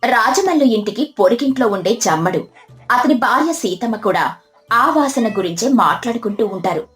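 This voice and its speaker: A woman's voice: a little high, expressive, moderate pace, fairly bright tone. A woman reading a scripted moral story as narrator. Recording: music behind the voice.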